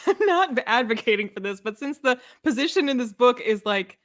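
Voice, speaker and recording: A woman in a casual, opinionated book discussion, talking in an animated, exasperated, sarcastic way and acting out imagined quotes. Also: a little high, fairly quick. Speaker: book readalong live chat host.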